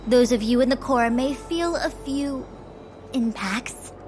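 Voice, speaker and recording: An actress playing a proud, stern young diviner in command in a video game, speaking formally and imperiously. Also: female voice, high voice, slow pace, background noise. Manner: formal, imperious, crisp wording. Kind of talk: dramatic video game voice acting.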